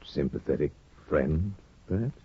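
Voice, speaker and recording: A low-voiced, courtly gentleman judge on a muffled vintage radio recording. He is sly and worldly, sliding expressively through insinuating phrases with deliberate pauses. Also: lively intonation, no room sound, heavily acted. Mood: sly, probing. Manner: smooth insinuation, courtly, hesitant pauses for effect. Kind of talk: 1950s radio drama acting.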